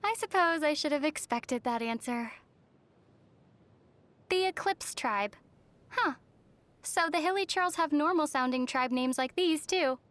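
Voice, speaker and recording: A woman voicing a young traveler heroine in a video game, gentle and wry with a soft, teasing manner. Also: high voice, lively intonation, measured pace, pauses often. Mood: curious, calm.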